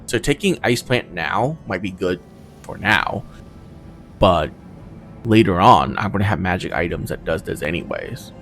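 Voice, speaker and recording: A man with a low, gravelly voice talks casually through a video game, thinking out loud. His pitch moves around a lot as he deliberates. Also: big pitch swings, slight background noise. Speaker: gamer commenting on own playthrough. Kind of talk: casual gameplay commentary.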